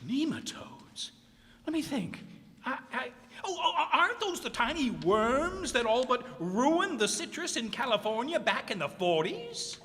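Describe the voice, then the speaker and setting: A man playing an excitable, fumbling radio reporter in a radio comedy, stammering and hesitant. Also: very high voice, a little slow, full character voice. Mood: musing, flustered. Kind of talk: old-time radio comedy acting. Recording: reverberant hall stage recording.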